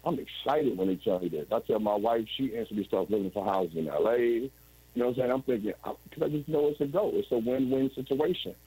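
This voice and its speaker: A man's voice: medium pitch, brisk, dark tone, breathy edge, poor audio. A man in his forties telling his story as an interview guest over a video call, rambling and restarting often. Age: 40s. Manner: rambling, with frequent restarts and "you know what I'm saying".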